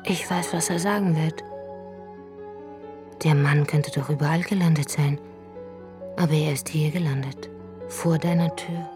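A woman plays a brooding narrator for a radio drama. Her voice sits low, and she speaks in a hushed tone. Music plays clearly beneath her. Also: steady tone, unhurried, long pauses.

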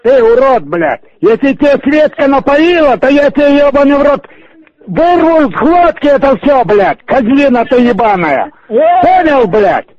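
Man, prank caller, crude, swearing, high and lively pitch, muffled phone sound. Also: measured pace, dry recording.

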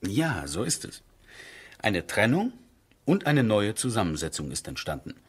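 Captain, male: deep-leaning, slightly rough voice, courteous and exact, measured delivery for a period radio play. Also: big pitch swings, full character voice. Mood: lecturing. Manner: measured, formal, precise. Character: earnest, courteous military captain with a scientific bent. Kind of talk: 1970s German radio drama acting.

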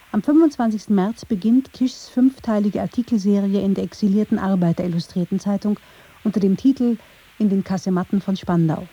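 A woman's voice: medium pitch, dark tone, slightly rough, highs cut off. A woman reading scripted narration for a radio documentary.

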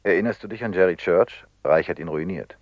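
An actor playing a worldly, gallant telepathic police prefect in a radio play, with a measured, insistent manner. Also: male voice, deep voice, dry recording. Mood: probing, warning. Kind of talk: German radio play acting. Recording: dull, dry studio radio recording.

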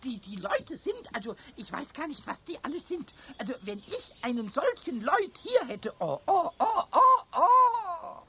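A man voicing a cheeky, excitable little kobold in a children's radio play, with a squeaky voice and stammering, whining delivery. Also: unhurried, hardly any pauses, exaggerated voice. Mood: indignant. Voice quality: shrill, squeaky. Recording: noisy 1970s radio play recording.

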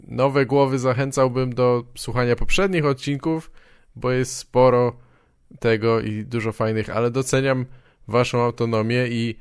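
A man hosting a podcast, talking casually with a dry, deadpan, joking manner. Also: medium pitch, smooth, no echo. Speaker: podcast host.

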